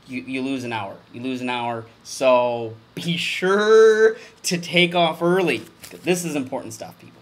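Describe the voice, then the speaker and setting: A man giving a mock-dramatic comedic reading of a formal document, with overblown emphasis and deadpan muttered asides. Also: medium pitch, unhurried.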